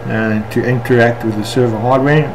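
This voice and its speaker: A man with a South African accent talks through a software screencast step by step, unscripted and halting. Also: mid-range voice, fairly even intonation, music in the background, low-quality recording. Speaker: software tutorial instructor. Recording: clear background noise, room ambience.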